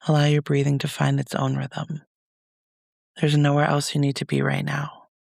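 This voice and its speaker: A man leading a guided meditation as a reflective monologue, speaking in a soothing, measured, gentle way with pauses. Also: a little high, monotone, gravelly, no room sound, studio quality.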